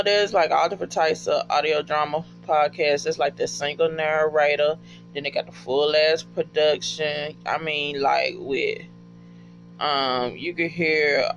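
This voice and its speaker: A high-voiced American man with a heavy creak in his voice rambles casually, full of slang and swearing, telling stories excitably. The sound is a bit dull and lo-fi, with guitar music behind him. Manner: slangy, profane, excitable storytelling. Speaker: podcast fan reviewing favourite shows.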